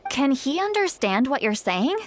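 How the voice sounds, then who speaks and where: A woman voicing a wry, knowing self-styled granny in a video game, speaking in a measured, gently teasing way. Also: high voice, very animated, a little hiss or hum. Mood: sombre, thoughtful. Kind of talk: video game dialogue acting.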